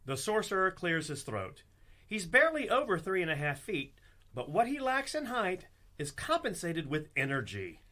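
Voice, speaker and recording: A man's voice: high-pitched, huge pitch swings, normal speed, pushed and bright, heavily acted. A man acting as the theatrical narrator of a fantasy comedy radio play, reading scripted scene descriptions. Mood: wry storytelling.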